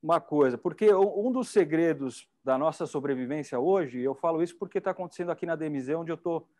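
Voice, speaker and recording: A middle-aged Brazilian man hosts from a studio stage on a lapel mic. His voice sits a little high, and he talks in a chatty, enthusiastic way. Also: fast talker, dark tone. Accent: Brazilian Portuguese. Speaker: event host. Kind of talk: casual hosting chat.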